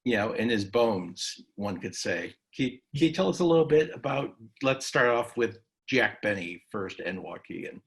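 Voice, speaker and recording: Halting male interview host. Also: mid-range voice, animated, creaky. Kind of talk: casual interview. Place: recorded virtual session.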